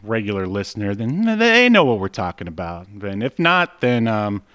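Guy cohosting a podcast, lowish voice, jokey and laughing, lots of uhs. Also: sing-song, moderate pace, fairly bright tone, clean tone, no echo, clean studio sound. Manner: jokey, laughs often, many "uh" fillers. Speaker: podcast co-host. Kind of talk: casual podcast banter with a scripted intro.

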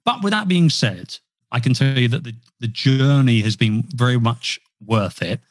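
A man's voice: mid-range voice, lively intonation, moderate pace, no room sound. A man chatting as a podcast guest, rambling and self-deprecating with frequent restarts. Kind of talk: casual interview talk. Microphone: podcast mic.

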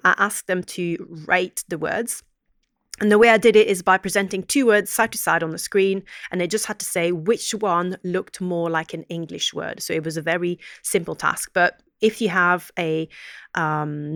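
A woman with a fairly low voice talks through her research study on a podcast. She speaks casually and thoughtfully, with plenty of fillers and restarted sentences. The recording is dry and close, with no room sound. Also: a bit bright, dry recording. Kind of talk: casual explanatory chat.

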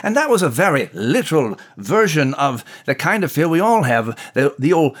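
A man's voice: on the high side, big pitch swings, moderate pace. A man voicing a genteel, scholarly talking mouse who chats thoughtfully in old-fashioned, erudite phrases. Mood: thoughtful, earnest. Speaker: co-host in character. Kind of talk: conversational devotional discussion in character.

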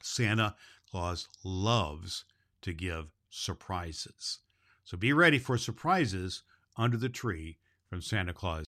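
A man's voice: deep voice, expressive, slow, pushed and bright, exaggerated voice. A man playing a jolly, kindly Santa Claus who hosts a children's storytelling show in a cheery, folksy manner. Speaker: host and storyteller. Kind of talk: children's storytelling show, addressing boys and girls.

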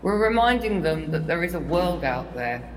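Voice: medium pitch, normal speed, a little hiss or hum, close to an everyday voice. Actor as a chatty, wry prison worker with a long hall reverb. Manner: chatty, wry, animated in anecdotes. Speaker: prison staff. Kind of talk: audio drama monologue.

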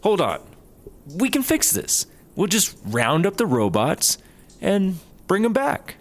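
A man's voice: sits high, wildly swooping pitch, slow pace, heavily acted. A man playing a hapless, sheepish startup worker and bumbling sidekick in a comedy audio drama. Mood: earnest.

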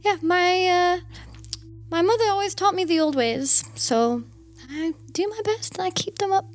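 A woman's voice: extremely high pitch, soft background music, voice pushed up. A woman voicing a superstitious, chatty cleaning woman with a Geordie accent, humming and drawing out her "mm-hmm"s. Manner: humming, drawn-out "mm-hmm"s, cheerfully folksy.

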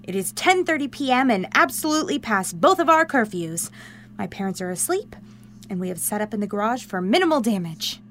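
A woman playing an eager, bossy, nerdy teenage girl in a radio drama, very animated. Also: mid-range voice, fairly quick, slight background noise. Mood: excited.

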